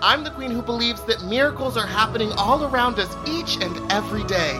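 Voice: mid-range voice, lively intonation, a little fast, close to an everyday voice. An actor playing an earnest, melodramatic drag queen contestant in a campy comedic radio play, speaking with breathless theatrical sincerity. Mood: sincere, proud. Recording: music behind the voice.

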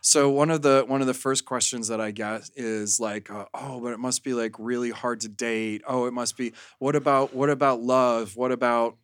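A man's voice: mid-range voice, steady tone, moderate pace, hardly any pauses, clear, resonant tone, dry recording, studio quality. A man speaking as a podcast guest, telling things candidly and casually in an interview chat. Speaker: porn actor.

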